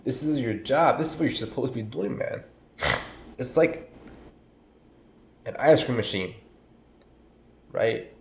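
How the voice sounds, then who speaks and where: A man's voice: medium pitch, lively intonation, measured pace, creaky, pauses often, echoey room, highs cut off, a little hiss or hum. A man with a stuffy cold giving a casual, slangy, profane sermon, calling listeners "bro" and "man". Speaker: preacher. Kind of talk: casual street-style sermon.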